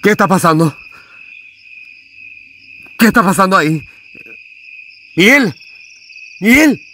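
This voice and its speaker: A man's voice: sits high, lively intonation, slow pace, sharp tone, exaggerated voice. An actor playing a male cemetery night watchman in an amateur radio drama. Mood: panicked, shouting. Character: Agustín, male cemetery night watchman, nonchalant, then frightened. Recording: noisy track with night insect ambience.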